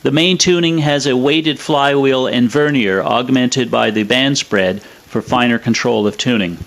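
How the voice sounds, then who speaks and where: A man presenting a scripted technical explainer in a measured, matter-of-fact way. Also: medium pitch, a bit bright. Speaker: presenter of an electronics review.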